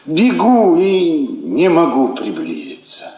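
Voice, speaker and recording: An actor playing a middle-aged military husband, weary, lonely and wistful, in a theatrical radio drama. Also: male voice, fairly high pitch, huge pitch swings, slow, warm tone, echoey room, full character voice.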